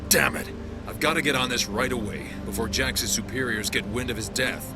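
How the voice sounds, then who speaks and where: An actor playing a curt, irritated underworld dealer in a video game. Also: male voice, mid-range voice, brisk, close to an everyday voice. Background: loud game music.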